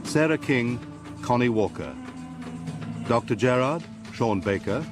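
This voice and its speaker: A British man reading formally as a radio announcer, in the measured style of closing credits. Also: mid-range voice, lively intonation. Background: music.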